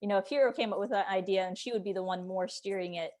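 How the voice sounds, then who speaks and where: A woman's voice: mid-range voice, fast, a little mellow. A woman hosting a casual interview, speaking in an agreeable, hedging, conversational way.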